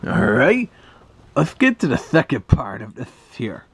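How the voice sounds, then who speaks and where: A man's voice: medium pitch, wildly swooping pitch, unhurried, slightly rough, no echo, heavily acted. A man voicing a grumpy cartoon cat who reviews a read-along with dry, sarcastic asides. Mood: snarky.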